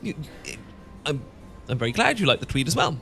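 A man's voice: medium pitch, big pitch swings, brisk, pushed and bright, faint music behind. A man with a British accent playing an awkward, sentimental English professor in a romantic ASMR roleplay, stammering and hesitant. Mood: flustered, sheepish. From Britain. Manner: stammering, hesitant, full of false starts. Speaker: audio roleplay performer.

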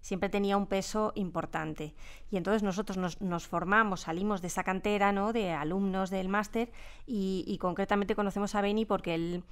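A middle-aged woman with a Castilian Spanish accent, giving halting, explanatory answers in a studio interview. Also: medium pitch, steady tone, smooth. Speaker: radio drama sound designer, interview guest. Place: radio studio.

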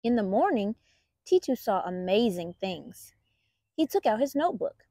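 A woman reading a children's picture book aloud as a storytime host, in an expressive, deliberate storyteller's manner. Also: medium pitch, no echo, clean studio sound.

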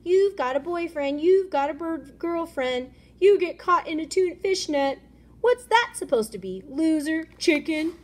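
A woman voicing jeering storybook bullies with loud, taunting, sing-song insults. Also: extremely high pitch, animated, measured pace, voice pushed up. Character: jeering fishing hooks hurling playground insults.

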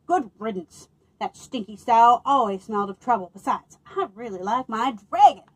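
A woman's voice: fairly high pitch, lively intonation, normal speed, husky, dry recording. A woman doing a grumbling old farmer. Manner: grumbling, fussy. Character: grumpy old male farmer.

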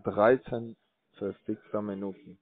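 A man's voice: fairly low pitch, steady tone, no echo. Bored man on a tinny phone line. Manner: bored, crude, rambling, slow halting speech. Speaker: call center scam caller. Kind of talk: rambling phone call.

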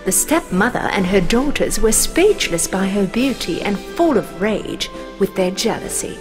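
A woman's voice: medium pitch, big pitch swings, a little slow, over music. A woman narrating a scripted fairy tale as a storyteller.

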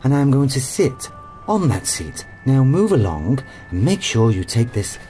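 A man's voice: mid-range voice, sing-song, unhurried, dark tone, faint music behind. An actor playing a haughty, condescending company chairman in an audio drama, with clipped, pompous delivery. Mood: irritated, imperious. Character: haughty, rude, rich robotics company chairman.

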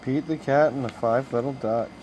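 A man reading a children's bedtime story aloud as a storyteller, with expressive, deliberate phrasing, recorded on a handheld phone at home. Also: medium pitch, slight background noise, rough recording. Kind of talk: bedtime picture-book read-aloud. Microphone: handheld phone camera.

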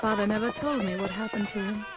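A woman's voice: medium pitch, fast, lightly acted, poor audio. A woman playing a middle-aged, romantic mother telling a story with melodrama in a video game. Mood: wistful. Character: wistful, romantic mature mother recalling lost love. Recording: loud music behind the voice.

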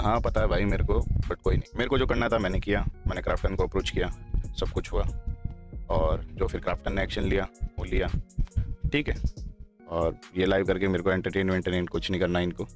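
A man in his thirties, an esports player, talking bluntly and heatedly into a headset mic from a small room, repeating himself to press his points. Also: deep voice. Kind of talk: livestream talk and call recording. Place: small room, sitting in a gaming chair.

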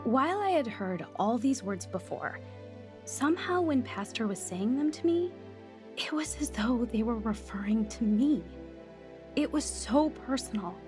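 A woman's voice: a little high, animated, unhurried. An actress playing an earnest young woman recovering from drugs and newly converted, in a radio drama. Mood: reflective. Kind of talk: first-person radio drama narration and dialogue. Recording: studio drama with music underneath.

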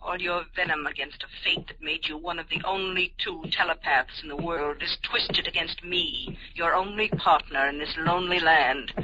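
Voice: medium pitch, moderate pace, few pauses, sharp tone, slight background noise, lightly acted. A performer playing a stern, possessive, scolding telepath in a 1950s radio drama. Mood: stern, accusing. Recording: dull vintage radio, music behind.

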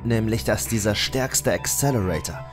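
A battered, stubborn teenage anime hero, played by a man, with a slightly low voice. He speaks earnestly and deliberately, in a dramatic fan dub with music underneath. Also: close to an everyday voice. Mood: earnest, explaining. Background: background music.

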